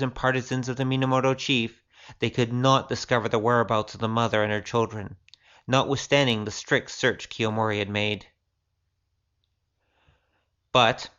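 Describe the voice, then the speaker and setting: A man's voice: mid-range voice, a bit bright, clear, resonant tone, muffled recording. A man reading a storybook aloud as scripted audiobook narration. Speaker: audiobook reader.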